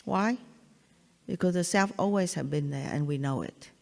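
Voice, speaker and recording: Older Vietnamese-accented woman, spiritual teacher, halting and repetitive, long reverb of a large hall. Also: fairly low pitch, creaky. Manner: halting, repeats phrases, checks in with "yeah?". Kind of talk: satsang talk.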